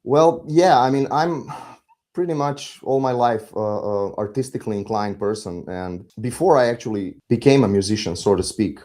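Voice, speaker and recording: A middle-aged man with a Serbian accent, talking reflectively as an interview guest, halting and philosophical as he searches for words. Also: medium pitch, mellow. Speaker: podcast guest, musician.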